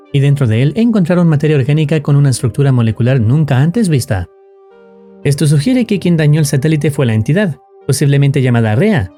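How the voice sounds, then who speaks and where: A man's voice: on the high side, dark tone. A man reading scripted narration in the role of a storyteller explaining a tale.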